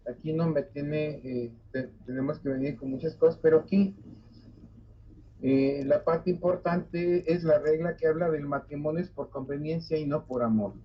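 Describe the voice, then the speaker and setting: Older man lecturing, husky. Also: on the high side, steady tone. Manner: halting, many fillers and restarts. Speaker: university lecturer. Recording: dull lo-fi recording.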